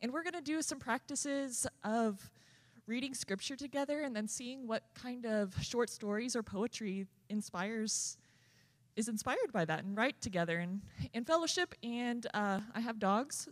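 A woman on staff giving a casual, chatty, slightly rushed announcement from a stage. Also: on the high side. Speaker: staff member making an announcement. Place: large auditorium stage. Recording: long hall reverb.

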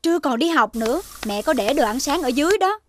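A woman voicing a brisk, firm animal mother in a children's cartoon. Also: sits high, animated, a little hiss or hum. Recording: music behind the voice.

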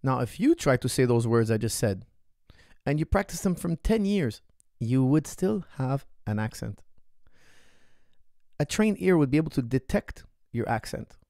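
Male coach, 30s, casual podcast Q&A, gravelly and emphatic, repeats himself for weight, dry close-mic sound. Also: medium pitch, normal speed, no echo. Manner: energetic, repeats phrases for emphasis, gestures widely. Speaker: martial arts coach. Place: small indoor room, seated. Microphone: studio mic on boom arm, close.

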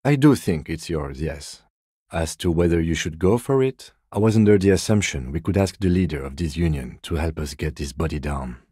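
Very deep, composed male detective. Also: expressive, dark tone, husky, no echo, clean studio sound, full character voice. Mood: measured, serious. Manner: measured, formal, understated. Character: composed, dry, methodical male police detective. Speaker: video game voice actor. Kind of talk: video game character dialogue.

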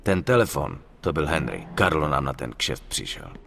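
An actor playing a level-headed, practical young mobster with a coarse, urgent way of talking in a dubbed game. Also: male voice, extremely low pitch, big pitch swings, gravelly, sharp tone, a little hiss or hum, heavily acted. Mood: tense, businesslike. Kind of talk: dramatic game dub acting.

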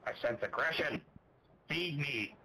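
A man's voice: a little high, lively intonation, a little slow, vocal fry, sharp tone, slight background noise, rough recording. A man on a race stream teasing his co-host with jokes. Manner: teasing, joking.

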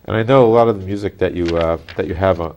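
Older male interview host, low register, halting phrasing, small studio. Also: darkish tone, dull audio, slight background noise. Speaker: TV talk show host.

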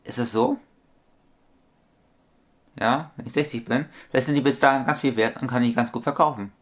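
Thirties man, at-home webcam vlogger, rambling and repetitive, average pitch. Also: normal speed. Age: 30s. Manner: rambling, repetitive, corrects his own sums aloud. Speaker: toy collector vlogger. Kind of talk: casual vlog monologue. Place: small room at home with shelves.

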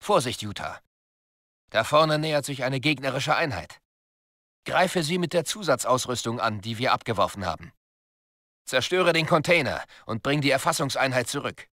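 A man's voice: mid-range voice, lively intonation, normal speed, bright, forceful tone. A man voicing a stern military commander in a video game, giving curt, commanding instructions. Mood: brisk, instructing. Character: stern male military commander briefing a soldier.

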